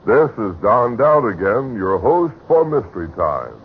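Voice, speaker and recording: A man's voice: lowish voice, very animated, a little slow, husky. A man hosting a mystery show in a 1950s radio announcing style. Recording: muffled old radio broadcast. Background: prominent music.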